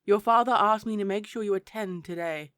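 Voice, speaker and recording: A woman's voice: mid-range voice, brisk, a bit bright, clear, resonant tone, dry recording, studio quality, close to an everyday voice. A woman playing a prim, stern, dutiful attendant of a princess in a fantasy audio roleplay, speaking in a formal, proper, clipped way. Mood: stern, cool.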